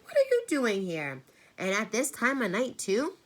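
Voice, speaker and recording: A woman reading aloud and voicing a clever, calm small boy who turns suspicious. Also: deep voice, moderate pace. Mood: wary.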